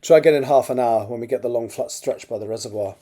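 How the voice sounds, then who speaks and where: A man voicing a calm, practical, protective male driver in a story. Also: medium pitch, fast talker, close to an everyday voice. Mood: steady, protective.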